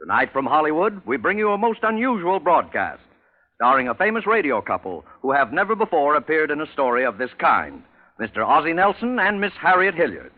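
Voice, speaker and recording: A man's voice: fairly high pitch, big pitch swings, brisk. A man announcing a radio show in a formal, portentous 1940s scripted style. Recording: old radio broadcast, phone-like band, music behind.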